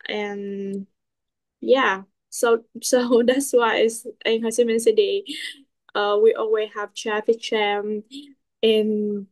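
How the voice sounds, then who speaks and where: Slow, hesitant woman with a Vietnamese accent. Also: medium pitch, little pitch movement, darkish tone, dry recording, low-quality recording. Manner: hesitant, searching for words, many fillers. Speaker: English learner. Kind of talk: one-on-one conversation lesson.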